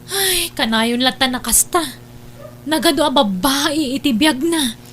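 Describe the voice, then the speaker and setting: A woman's voice: high voice, animated, unhurried, a bit bright, slight background noise. A woman with an Ilocano accent playing an exasperated, scolding older sister in an audio drama. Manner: nagging, reproachful.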